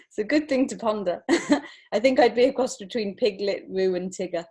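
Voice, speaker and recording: A woman chatting casually as a guest in an interview, with a candid, expressive and giggly manner. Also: mid-range voice, unhurried. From lives in Montreal, Canada. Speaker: guest, children's author and illustrator.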